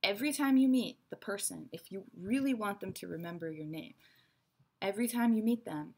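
A woman in her thirties, raised between Israel and America, giving a chatty, frank English lesson to her webcam in a small bright room. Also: medium pitch, a little fast, gravelly, breathy edge. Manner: chatty, frank, teacherly asides. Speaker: English teacher. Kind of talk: online English lesson to camera. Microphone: webcam at desk.

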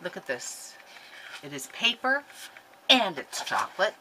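Woman, 50s, low-pitched, excitable and rambling; home webcam sound with clear background noise. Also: a little slow, poor audio. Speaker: scrapbooking vlogger. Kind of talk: casual chatty haul video. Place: craft room at home, indoors. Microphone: webcam at desk.

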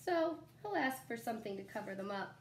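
A young adult woman reading a children's picture book aloud like a teacher, in an animated, expressive way with asides to young listeners. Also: fairly high pitch, a little hiss or hum. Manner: animated, pausing to ask young listeners questions. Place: small living room at home, indoors. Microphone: phone camera a short distance away.

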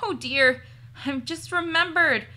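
Woman voicing an earnest, fretful girl, bright-toned. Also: sits high, slow, voice pushed up, exaggerated voice. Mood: dismayed. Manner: fretful, chatty, thinking aloud. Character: earnest, worried girl heroine talking to her dog.

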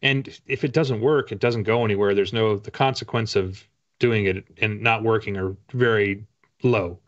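A man in his forties with a fairly low voice talks casually in an interview. He rambles in a self-deprecating way as he explains things. The call audio is a little dull and lo-fi. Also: steady tone, normal speed. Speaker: guest author. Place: home room with bookshelves, video call.

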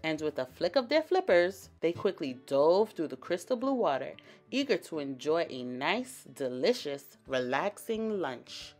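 A woman storyteller reading a children's picture book aloud with expressive, dramatic emphasis. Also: low-pitched, unhurried, soft background music.

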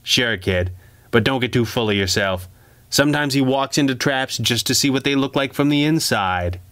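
A man's voice: mid-range voice, moderate pace, fairly bright tone, no room sound, voice pushed up, lightly acted. A man voicing a seasoned gangland undercover man in a crime story, with a smug, casual, needling manner. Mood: teasing, smug.

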